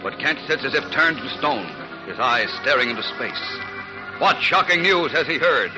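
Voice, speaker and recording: A man's voice: mid-range voice, expressive, normal speed, low-quality recording. A man narrating a 1940s radio serial as announcer, suspenseful and theatrical, on an old broadcast recording. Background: music.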